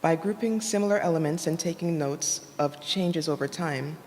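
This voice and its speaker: Soft-voiced woman presenting in a reverberant hall. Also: low voice, moderate pace. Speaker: academic presenter, designer. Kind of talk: prepared conference talk read from notes. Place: indoor conference room at a university. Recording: reverberant hall, noticeable background noise.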